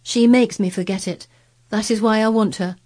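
A woman's voice: medium pitch, fairly even intonation, moderate pace, darkish tone, pitched above the performer's own voice, close to an everyday voice. A woman voicing an imperious, wilful, sickly ten-year-old boy in a storybook, giving orders in a commanding way. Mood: fretful. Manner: commanding, peremptory. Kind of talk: storybook character voice.

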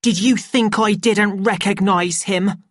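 A woman's voice: fairly high pitch, takes it slowly, no room sound, clean studio sound, voice pushed up. A woman voicing a desperate, wronged middle-aged woman in an audiobook, pleading with trembling intensity. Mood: angry, pleading. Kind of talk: audiobook character acting.

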